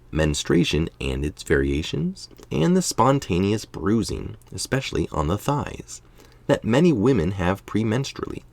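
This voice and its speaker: A man's voice: low-pitched, gravelly, darkish tone, no room sound. A tired middle-aged man reading an article aloud, informal, with casual asides. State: tired late at night. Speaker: article reader.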